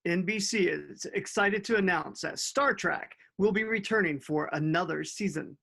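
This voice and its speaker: An actor playing an upbeat male network promo announcer with a rough voice, in a screenplay table read. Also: sits high, moderate pace, fairly bright tone, exaggerated voice.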